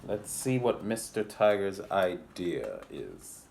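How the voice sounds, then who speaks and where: A man's voice: low voice, huge pitch swings, slow pace, gravelly, noisy background. A man hosting a children's picture book read-aloud, speaking with exaggerated, theatrical politeness and chatty asides. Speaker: library storyteller and host.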